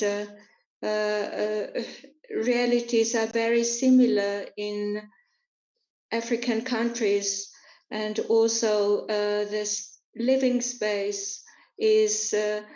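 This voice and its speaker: A woman's voice: mid-range voice, little pitch movement, drawn-out words, a little mellow. A woman interpreting an interview into English, hesitant and full of filler sounds. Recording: roomy, dull lo-fi recording.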